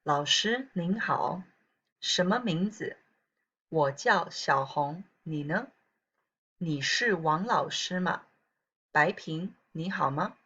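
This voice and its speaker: A woman Chinese teacher with a southern Taiwanese accent, reading practice sentences slowly with casual asides to her students. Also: deep voice, animated, a bit bright, breathy edge. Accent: southern Taiwanese Mandarin. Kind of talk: recorded pronunciation lesson. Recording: dull lo-fi recording.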